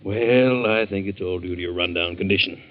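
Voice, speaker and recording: Actor as a low-voiced, paternal family doctor. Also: male voice, natural voice. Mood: probing, reasonable. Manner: measured, paternal, reassuring. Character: calm, dismissive, soothing family doctor. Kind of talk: 1940s radio mystery acting. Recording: dull, slightly noisy vintage radio recording.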